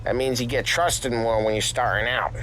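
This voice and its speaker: A man's voice: medium pitch, fairly even intonation, normal speed, a bit bright, natural voice, low-quality recording. An actor playing a cocky, easygoing mob henchman, clipped and wry, in a video game. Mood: easygoing, teasing. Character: cocky, easygoing street-bred male mobster, seasoned henchman. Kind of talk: cinematic video game voice acting. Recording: clear car engine noise behind.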